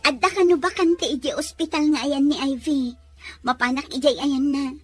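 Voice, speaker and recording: A woman playing an eager young job seeker in a drama, with a husky voice. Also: high-pitched, fairly even intonation, moderate pace, soft background music, lightly acted. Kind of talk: Ilocano drama acting.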